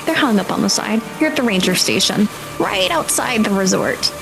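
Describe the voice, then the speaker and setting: A woman's voice: medium pitch, big pitch swings, moderate pace, creaky, music in the background, low-quality recording. A woman narrating a tabletop horror roleplay as game master, addressing the players directly.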